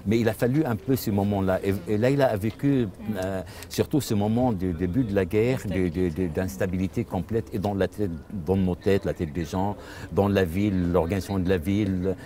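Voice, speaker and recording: A man's voice: low voice, normal speed, warm tone. An older man from Lebanon, a storyteller whose first language is Arabic, answering interview questions thoughtfully and repeating words as he searches for them. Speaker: storyteller, father. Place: outdoor festival.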